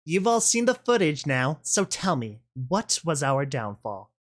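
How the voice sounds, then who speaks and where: A man's voice: on the high side, very animated, unhurried. A man voicing a menacing female pegasus boss in a story reading, blunt, commanding and sneering. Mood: stern, scornful. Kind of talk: dramatic audio fan-fiction reading.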